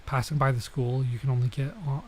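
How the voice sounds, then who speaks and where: A man's voice: mid-range voice, flat delivery, fairly quick, a little mellow. A man doing a let's play, reading visual novel text aloud and adding casual, off-the-cuff comments, sometimes stumbling over lines.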